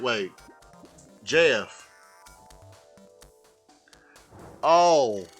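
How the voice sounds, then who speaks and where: A man voicing a slow, simple, earnest Southern American man with a folksy drawl while chatting on a gaming livestream. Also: mid-range voice, a bit bright, exaggerated voice. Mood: flustered. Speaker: video game streamer. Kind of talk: livestream gaming chat held in a put-on voice. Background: music.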